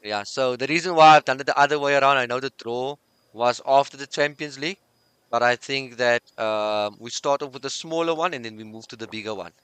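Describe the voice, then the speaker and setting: Very clear, dry-voiced man hosting a podcast. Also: mid-range voice, fairly quick, dry recording. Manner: relaxed, chatty, thinks aloud with fillers. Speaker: podcast host. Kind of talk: casual sports podcast chat.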